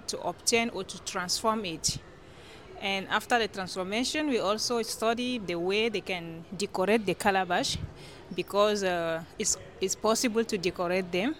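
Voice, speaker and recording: A woman scholar with a Francophone Central African accent answers interview questions at an average pitch. She explains things haltingly, repeating and rephrasing as she goes. There is a light layer of noise behind her. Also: a bit bright. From Chad-born, grew up in northern Cameroon. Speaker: guest scholar being interviewed. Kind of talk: explanatory interview answers in non-native English.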